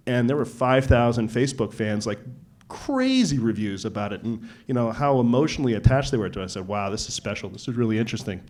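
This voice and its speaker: A man speaking as an investor in a casual on-stage interview, halting and colloquial, often checking his point with the listener. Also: mid-range voice, sing-song, darkish tone.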